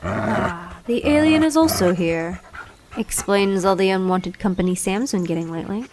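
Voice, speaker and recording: A woman's voice: mid-range voice, normal speed, noisy background, natural voice. A woman playing a haughty, hostile demigod, child of the underworld god, in an audio drama, speaking in a cold, imperious way. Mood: disdainful. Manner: cold, imperious, formal phrasing.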